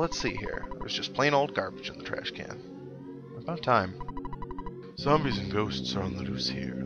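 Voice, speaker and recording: A man's voice: deep voice, vocal fry. A man doing casual let's-play commentary with wry, sarcastic asides, sometimes reading game text aloud. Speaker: gaming show host, player. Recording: dull lo-fi mic, game music behind. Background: synthesized video game music.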